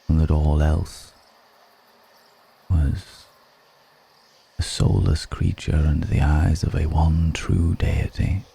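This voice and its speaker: A man playing an ancient, refined, wistful male vampire in an intimate ASMR roleplay, speaking slowly and formally with measured pauses. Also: a bass voice, gravelly, mellow, slight background noise, heavily acted. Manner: slow, measured, pausing between phrases, formal wording. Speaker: voice actor in an audio roleplay.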